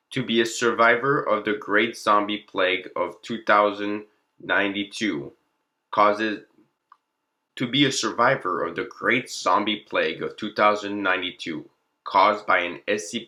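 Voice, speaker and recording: A man's voice: on the low side, bright, forceful tone. A man narrating a fictional classified document in a formal, clinical log-reading manner. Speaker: narrator and presenter of the channel.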